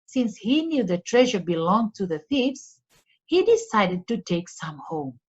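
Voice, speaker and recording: Slow, expressive woman reading a storybook. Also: mid-range voice, slightly rough, lo-fi sound. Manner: deliberate, expressive read-aloud for children. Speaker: storyteller for a read-along. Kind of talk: scripted storybook narration.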